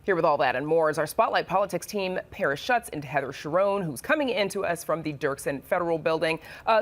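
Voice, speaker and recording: A news anchor hosting a broadcast panel, reading introductions and putting questions to reporters in a conversational way. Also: medium pitch.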